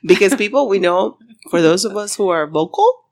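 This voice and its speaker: Chatty woman with lively pitch. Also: moderate pace. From Cuba. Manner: chatty, laughs often, drops in Spanish phrases. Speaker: podcast co-host. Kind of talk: casual chat.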